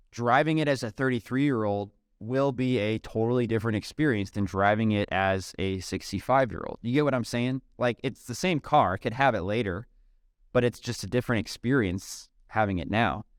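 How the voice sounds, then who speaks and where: A man, chatting casually as a podcast guest, relaxed and peppered with "um" and "dude". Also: medium pitch, smooth, no echo, clean studio sound. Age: 30s. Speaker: podcast guest, software company CEO. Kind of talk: casual interview conversation.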